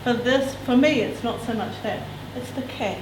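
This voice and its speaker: A woman's voice: a little high, normal speed, over a noisy crowd. A woman reading a novel extract aloud to others with expression, adding casual asides. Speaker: reader at a literary event. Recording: echoey room, distant mic, background noise.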